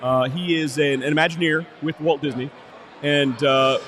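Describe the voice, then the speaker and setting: A man co-hosting a casual business podcast, joking playfully, his voice hoarse and going out. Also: fairly high pitch, fairly even intonation, moderate pace, fairly bright tone, a little hiss or hum. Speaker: podcast co-host. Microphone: cheap soundboard.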